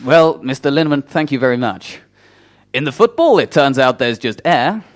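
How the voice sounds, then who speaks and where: A man's voice: medium pitch, lively intonation, moderate pace, natural voice. A man playing a formal radio newsreader in a comic mock bulletin. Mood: formal, deadpan.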